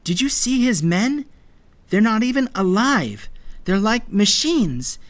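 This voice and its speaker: A man puts on a young girl from an adventure audiobook, lifted in pitch. She is anxious and sensible. She reasons insistently, trying hard to persuade. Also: sing-song, slow pace, exaggerated voice. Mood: worried, reasoning.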